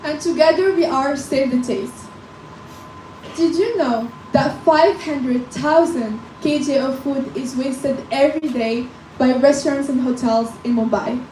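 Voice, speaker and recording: A young woman from Morocco in her late teens gives a rehearsed business pitch and counts her points off one by one. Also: measured pace, dark tone, a little hiss or hum. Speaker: student presenter. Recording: echoey room from a distance.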